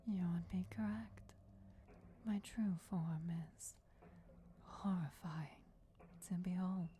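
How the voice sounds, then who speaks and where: A woman whispering as an ancient vampire goddess. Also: fairly low pitch. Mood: solemn, patient. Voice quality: soft, breathy whisper. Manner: slow, deliberate, formal, measured. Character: ancient vampire deity in feminine form, regal and patient. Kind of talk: whispered fantasy ASMR roleplay. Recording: clear background noise, crypt ambience. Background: crypt ambience.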